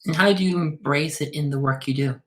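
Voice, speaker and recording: A man hosting an interview, asking calm, brief questions. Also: a little high, a little fast. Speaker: interview host.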